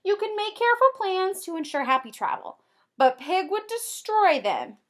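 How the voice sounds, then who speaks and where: A woman kindergarten teacher doing an expressive read-aloud for young children, with drawn-out emphasis and simple explanations. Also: extremely high pitch. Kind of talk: animated children's read-aloud with comprehension questions.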